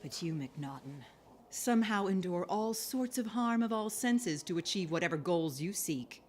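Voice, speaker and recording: Actress as wary shopkeeper, husky, lowish, world-weary, contemptuous, animated, audio adventure. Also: female voice, moderate pace.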